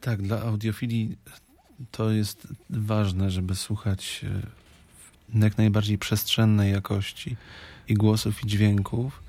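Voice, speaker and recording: A man speaking as a radio interview guest in a studio, reflective and halting in delivery. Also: lowish voice, flat delivery, warm tone.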